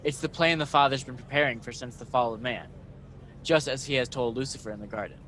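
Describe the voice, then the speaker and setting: A man playing a calm, authoritative messenger angel in a radio play, speaking in a solemn, measured way. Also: medium pitch, a little hiss or hum, close to an everyday voice. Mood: assured.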